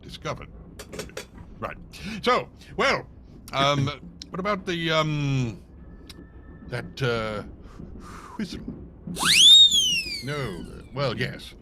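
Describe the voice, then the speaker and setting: A man playing a hesitant, obliging male detective's sidekick in a live radio drama, halting and theatrical. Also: on the high side, huge pitch swings, heavily acted. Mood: flustered. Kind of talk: live cold-read recreation of 1940s radio drama. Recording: live stage recording with clear background noise.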